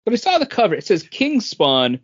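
A man's voice: high voice, huge pitch swings, a little fast, slightly rough. A man co-hosting a podcast, casually discussing comic books. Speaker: podcast co-host. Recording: dull, lo-fi podcast sound.

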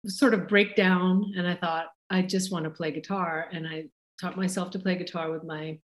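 A woman's voice: fairly low pitch, fairly even intonation, normal speed. A woman in her forties telling her life story in a casual interview, rambling with many fillers and frequent laughs. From India. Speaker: chef and guest.

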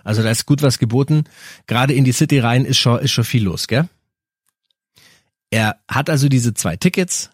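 Ein Mann mit bairischem Akzent, Co-Moderator eines Podcasts, der verspielt und witzelnd eine Geschichte erzählt. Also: male voice, medium pitch, normal speed, pauses often, breathy edge, no echo, studio quality. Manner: playful storyteller, jokey asides. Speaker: podcast co-host. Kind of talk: casual chat, telling a story.

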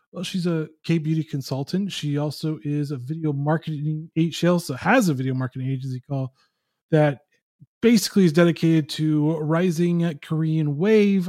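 A man's voice: fairly high pitch, darkish tone, no echo, clean studio sound. A man hosting a podcast, speaking in a conversational interview style. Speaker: podcast host.

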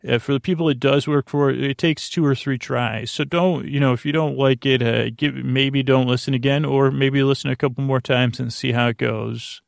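A man with a creaky, gravelly voice talks in a flat, lulling way, like a friendly bedtime podcast host. He meanders, stops and restarts, and drifts off mid-thought. The sound is close and dry. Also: medium pitch, no echo. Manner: meandering, halting, repeats and trails off mid-thought. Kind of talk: rambling bedtime monologue to help listeners sleep.

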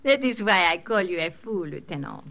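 A woman's voice: fairly low pitch, animated, normal speed, a bit bright. An actress playing a teasing, seductive woman in a 1940s radio drama. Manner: coy, teasing, sprinkles French phrases.

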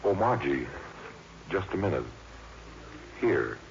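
A polite, slightly awkward gentleman in a vintage radio play. His voice is very low yet lively in its inflection, on a muffled broadcast. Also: slow, vocal fry, slight background noise, full character voice. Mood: courteous, hesitant. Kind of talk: 1950s radio acting.

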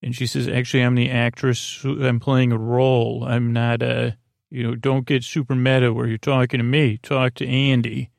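Creaky-voiced rambling male podcast host. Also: mid-range voice, little pitch movement, moderate pace, no echo, clean studio sound. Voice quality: creaky, gravelly. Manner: hesitant, meandering, with stammered false starts. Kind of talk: rambling, whimsical improvised bedtime monologue.

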